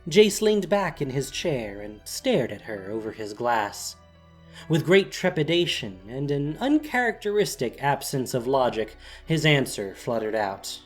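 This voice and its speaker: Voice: mid-range voice, big pitch swings, measured pace, faint music behind. A narrator reading a scripted, dramatic story for an audio drama.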